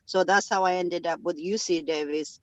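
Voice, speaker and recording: A man's voice: high voice, fairly even intonation, moderate pace, no room sound. A man from Ethiopia who owns a restaurant and a farm, speaking earnestly on a panel with many "uh" fillers and grammar. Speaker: restaurant owner and farm founder. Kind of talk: panel discussion.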